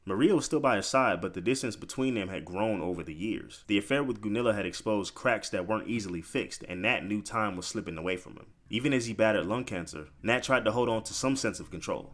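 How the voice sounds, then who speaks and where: Low-pitched man telling a story. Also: fairly bright tone. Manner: informal, opinionated, speaking directly to the listener. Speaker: narrator and commentator of a biographical video. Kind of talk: storytelling commentary, scripted narration with conversational asides.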